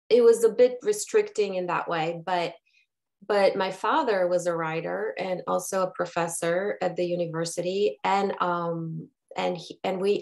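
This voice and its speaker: Woman in her 50s from bulgaria, on a video call, thinks out loud, lots of restarts, mid pitch. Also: vocal fry. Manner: thoughtful, halting with many restarts. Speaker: guest, brand strategist. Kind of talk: conversational interview.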